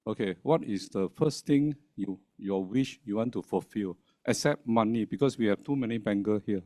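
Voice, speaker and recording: A man's voice: lowish voice, normal speed, darkish tone, dry recording. A man from the audience asking the panel a question, casual with tag questions.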